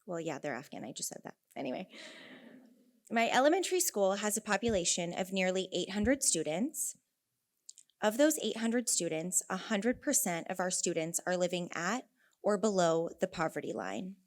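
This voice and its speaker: A woman's voice: fairly low pitch, animated, moderate pace. Earnest young Californian woman giving a talk. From Northern California. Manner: earnest storytelling with asides. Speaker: conference speaker, elementary teacher. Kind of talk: prepared speech.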